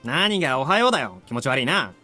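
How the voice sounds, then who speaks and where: Cocky teenage buddy for a visual novel: very fast, lively, swinging pitch. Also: male voice, soft background music. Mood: brash teasing. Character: brash, teasing male high school student, the hero's buddy. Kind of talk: Japanese visual novel voice acting.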